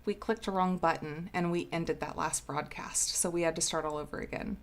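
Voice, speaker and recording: A woman's voice: low-pitched, steady tone, brisk. A woman hosting a casual craft live stream, bubbly and sniffly from a cold, greeting viewers by name.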